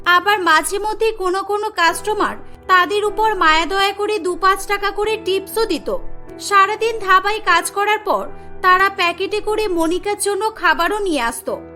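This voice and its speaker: A woman narrating a cartoon story in a clear storytelling manner. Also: very high voice, pushed and bright.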